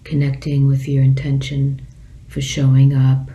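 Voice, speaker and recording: A woman leading a guided meditation as a teacher, speaking gently and leaving long pauses. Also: extremely low pitch, monotone, low-quality recording.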